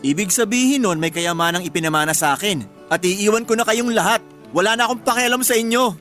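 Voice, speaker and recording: An actor playing a hot-headed, joking husband with a Filipino accent in animated, colloquial radio drama dialogue. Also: male voice, sits high, fast talker, faint music behind. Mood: playful, teasing. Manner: animated, colloquial, exasperated or teasing.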